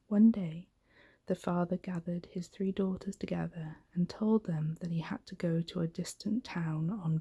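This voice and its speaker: A woman giving a soft-spoken, gentle, hushed ASMR reading of a bedtime story in a breathy, whispery voice. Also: lowish voice.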